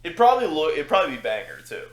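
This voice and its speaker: A man's voice: fairly high pitch, huge pitch swings, rapid-fire. A man co-hosting a casual podcast, swearing freely and mimicking other people as he talks. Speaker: podcast co-host.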